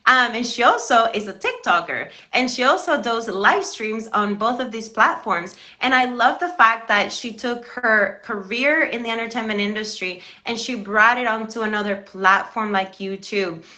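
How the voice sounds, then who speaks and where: Bright, earnest woman hosting a live stream. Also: a little high, moderate pace, few pauses. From Cuba. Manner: earnest, encouraging, addresses viewers directly. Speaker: live stream show host. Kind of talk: motivational live-stream talk.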